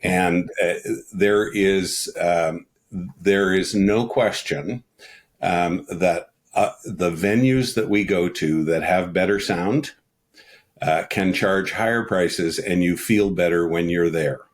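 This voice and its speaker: A man speaking as a guest in a casual panel discussion, blunt and wry in his opinions. His voice is very low, near the bottom of the male range. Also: slow.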